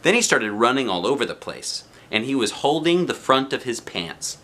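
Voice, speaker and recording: A man reading a children's storybook aloud in an expressive narrating style with short, simple phrases. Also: medium pitch, normal speed. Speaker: storybook reader.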